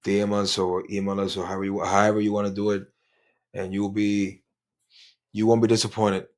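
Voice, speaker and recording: A man's voice: lowish voice, flat delivery, brisk, darkish tone. A man co-hosting a casual podcast, rambling and repeating words, with bits of Spanish mixed in. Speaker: podcast co-host. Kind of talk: casual chat.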